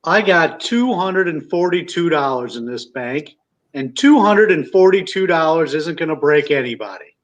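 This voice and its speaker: A man's voice: a little high, lively intonation, normal speed, lo-fi sound. A man playing a blunt, stubborn townsman in a live radio play, obstinate and emphatic. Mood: indignant. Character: stubborn, blunt male townsman demanding his savings.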